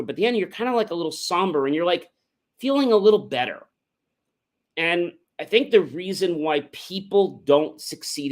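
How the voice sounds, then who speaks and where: A man speaks at a middle pitch as the host of a motivational livestream. His delivery is conversational and rambling, wandering through the monologue and leaning on emphatic asides.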